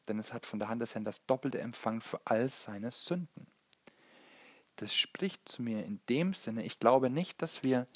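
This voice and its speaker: A man from Germany, preaching in an earnest, halting way as he searches for words. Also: lowish voice, gravelly, husky, no room sound. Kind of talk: sermon, Bible teaching.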